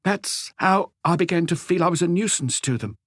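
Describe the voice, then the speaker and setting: A man voicing an anguished, lonely, meek husband in an audiobook, pleading and rambling haltingly through a confession. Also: high voice, lively intonation, dry recording, clean studio sound, pitched above the performer's own voice. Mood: anguished, devoted. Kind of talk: audiobook character dialogue.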